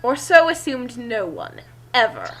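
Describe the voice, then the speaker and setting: A woman's voice: a little high, huge pitch swings, measured pace, a bit bright, slight background noise, low-quality recording. A woman reading a story aloud in a theatrical way, with casual asides. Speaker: reader and commentator.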